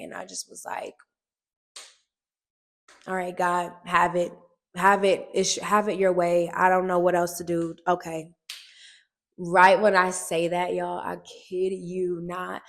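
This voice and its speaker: Animated woman telling her story. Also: mid-range voice. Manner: animated, emphatic storytelling, often repeats phrases. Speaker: actress. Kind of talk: casual personal testimony to camera. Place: on a film set.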